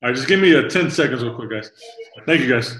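A man's voice: mid-range voice, lively intonation, quick pace, fairly bright tone. A man hosting an interview, asking hesitant, filler-heavy questions. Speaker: podcast host. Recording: roomy echo with faint noise.

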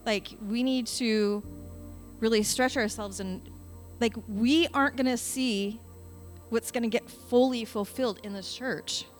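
A woman's voice: on the high side, fairly even intonation, unhurried, soft background music. A middle-aged woman sharing an informal, earnest word as a church leader at a service.

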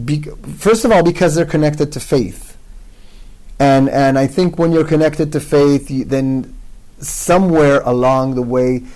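A man's voice: mid-range voice, a little mellow. Give me an Israeli man, a native Hebrew speaker, as an interview guest, hesitant and searching for words. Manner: hesitant, many restarts and "uh"s. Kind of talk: conversational interview.

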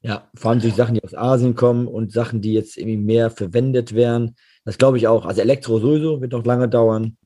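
Hoarse man, dark husky voice. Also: mid-range voice, quick pace. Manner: relaxed, agreeable, often affirming. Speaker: podcast co-host. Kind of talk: casual business chat.

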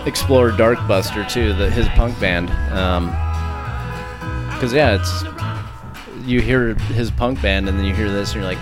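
Man, relaxed casual podcast chat, swears a lot, country guitar playing behind. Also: fairly low pitch, a little slow. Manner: laid-back, swears freely, sings along offhand. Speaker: podcast co-host. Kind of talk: casual chat over music. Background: country music with guitar.

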